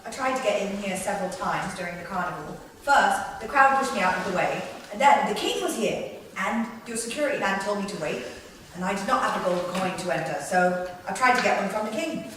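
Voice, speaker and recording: A woman's voice: medium pitch, expressive, brisk, fairly bright tone, close to an everyday voice. Woman as an earnest orphan boy, very echoey. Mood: hesitant, explaining. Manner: polite, hesitant, formal phrasing. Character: earnest, polite, curious young orphan boy. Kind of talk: table read of a film script. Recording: very echoey room, distant mic, faint noise.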